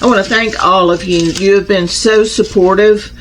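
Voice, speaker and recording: A woman hosting a casual crafting unboxing vlog, chatty and homey, slightly raspy from a starting cold. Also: medium pitch, steady tone, normal speed, soft background music, low-quality recording. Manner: chatty, homey, addresses viewers as y'all. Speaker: crafting channel host.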